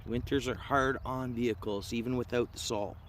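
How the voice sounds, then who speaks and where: A man's voice: mid-range voice, fairly even intonation, moderate pace. A Canadian man with an Ontario accent chats casually and in a slangy, laid-back way as he hosts a fishing livestream. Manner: laid-back slangy talk, says dude, man, bro. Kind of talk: casual live chat with viewers. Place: outdoors at a fishing spot. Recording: clear outdoor ambient noise.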